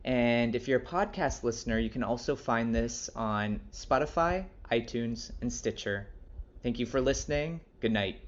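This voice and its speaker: A man's voice: medium pitch, moderate pace, dull audio. A man hosting a casual interview, asking questions and addressing the audience in a conversational way. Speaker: interviewer, show host.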